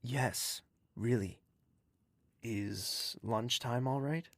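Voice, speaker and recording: Gentle courtly man, dry sound. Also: mid-range voice, extremely slow, dry recording. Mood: courtly, coaxing. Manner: soft, earnest, reassuring, formal. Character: gentle, courtly, protective confidant with a wounded past. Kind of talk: earnest audio drama acting.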